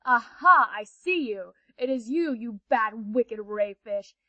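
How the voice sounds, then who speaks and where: A woman voicing an angry, wounded male panther who speaks loud and threatening. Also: on the high side, expressive, slow, dry recording, exaggerated voice. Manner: loud, growling, forceful. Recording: dull, dry audio.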